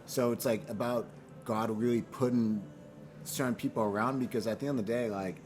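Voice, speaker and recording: A man's voice: medium pitch, fairly even intonation, a little fast, a little mellow. A man from Boston and Nigeria talking casually as an interview guest, earnest and slangy, often repeating phrases for emphasis. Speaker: fashion brand founder, interview guest. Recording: clear background noise, room ambience.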